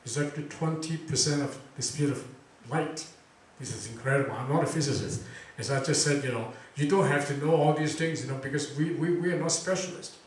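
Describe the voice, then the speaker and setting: A man's voice: a little high, fairly quick. A man hosting a talk event, chatting casually and quizzing the audience with lots of fillers. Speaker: event host introducing a speaker. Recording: very echoey room recording from far off.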